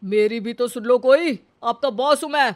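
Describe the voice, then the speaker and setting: A woman's voice: fairly high pitch, moderate pace, close to an everyday voice. A woman voicing an exasperated but polite male office boss in a comic cartoon story, instructing the people around him.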